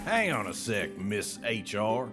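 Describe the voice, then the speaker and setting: A folksy, aggrieved Southern salesman in an audio drama, needling and sly. His pitch swings widely over colourful turns of phrase, with faint music behind him. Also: very animated, slow pace. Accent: American South. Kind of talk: scripted audio drama acting.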